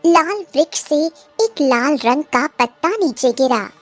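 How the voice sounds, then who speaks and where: A woman tells a scripted cartoon fairy tale for children. Her voice sits very high, and clear background music runs beneath the narration. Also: lively intonation, unhurried. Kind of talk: scripted children's cartoon storytelling, also voicing dialogue.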